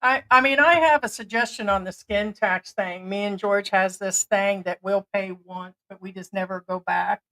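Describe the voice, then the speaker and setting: A woman's voice: medium pitch, steady tone, normal speed, hardly any pauses, sharp tone, low-quality recording. A woman from the United States co-hosting a casual livestream chat, talking freely and cutting in with her own points. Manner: chatty, interjecting, talks to pet. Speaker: co-host of a livestream.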